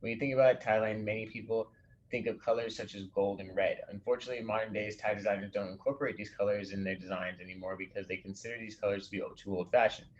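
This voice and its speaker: A male design instructor with a somewhat low voice talks through a class project in a recorded lecture. He explains at an easy pace and reads an example text aloud, restarting a word now and then. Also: steady tone, fast, highs cut off, slight background noise.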